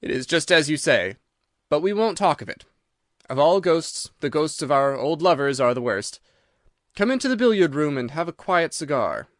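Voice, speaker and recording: A man's voice: fairly high pitch, sing-song, moderate pace, dry recording, clean studio sound. Man playing a hearty, wary old country squire. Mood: relieved, uneasy. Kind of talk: old radio mystery storytelling.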